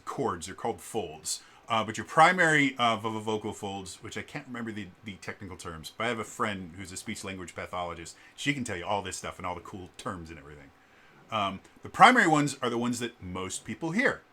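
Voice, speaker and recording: A man with a very low voice casually explaining singing in a vlog, often halting with fillers and stammered restarts. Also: pushed and bright. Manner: shifts to gravelly vocal fry demonstrations.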